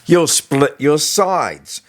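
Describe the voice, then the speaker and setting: A man reads the part of a big, dim-witted school bully in a children's audiobook. He sneers his threats with open malice, pitched up and pushed out hard. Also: animated, drawn-out words. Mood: sneering.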